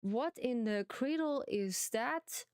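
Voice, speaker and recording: Slow, playful German woman streamer. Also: fairly high pitch, lively intonation, a little mellow, clean tone, no room sound, studio quality. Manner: playful exclamations, simple halting English. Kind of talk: casual chat while streaming a farming game.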